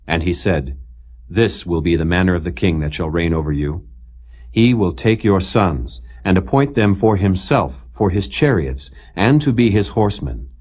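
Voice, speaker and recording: A man narrating the Bible from a script, solemn and measured, phrase by phrase. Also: deep voice, darkish tone, slightly rough. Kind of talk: scripted scripture reading, King James English.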